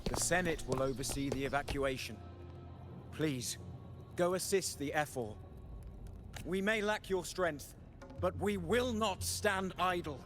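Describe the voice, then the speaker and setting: An actor playing an earnest, loyal soldier of a besieged city in a video game. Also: male voice, fairly high pitch, a little slow, pauses often. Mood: resolute. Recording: loud music behind.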